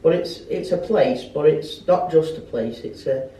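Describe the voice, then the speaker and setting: Englishman, twenty, mellow casual interviewee, echoey distant recording. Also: on the high side, a little slow. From Stoke, England. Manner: conversational, adds tag questions. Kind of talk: casual chat. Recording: echoey, distant mic, faint noise.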